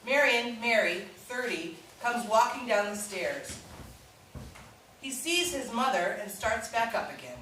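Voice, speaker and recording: A woman's voice: a little high, lively intonation, a little slow, sharp tone. A woman reading stage directions as scripted narration at a live play reading. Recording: echoey room from far off, faint noise.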